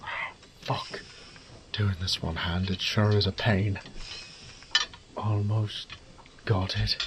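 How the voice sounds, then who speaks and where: A man playing a wry, resourceful thief in an audio drama, muttering gruffly and cursing to himself. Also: on the low side, level tone, slow, noisy background. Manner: gruff, sardonic, swearing under his breath. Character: wry, foul-mouthed adult male thief, resourceful under pressure.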